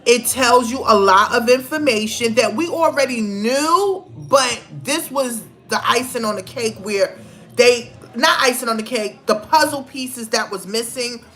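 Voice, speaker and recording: A woman's voice: on the high side, measured pace, a bit bright, faint music behind. A middle-aged woman giving casual, opinionated commentary in a conversational, emphatic way, repeating phrases for stress. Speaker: vlogger.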